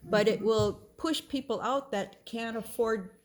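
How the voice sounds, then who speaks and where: A woman's voice: fairly high pitch, a little slow. Thoughtful woman asking questions. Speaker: local resident asking questions. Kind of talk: casual discussion at a town meeting. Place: community meeting hall.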